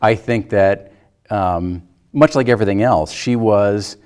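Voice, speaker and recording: A man's voice: on the low side, unhurried, a little mellow, smooth. A man chatting informally about film as a guest, tentative and thinking aloud as he goes. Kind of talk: casual film discussion.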